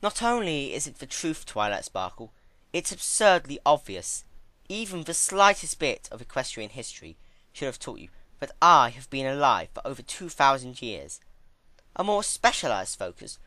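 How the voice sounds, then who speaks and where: A performer voicing a serene, haughty ancient pony goddess, female, who speaks in a measured, lofty, chiding way. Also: mid-range voice, big pitch swings, pushed and bright, no room sound.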